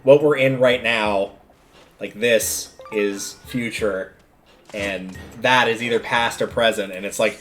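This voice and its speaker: A man's voice: fairly low pitch, fairly even intonation, slow, a bit bright. A man giving casual livestream commentary while playing a video game, rambling a bit as he thinks aloud. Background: video game music.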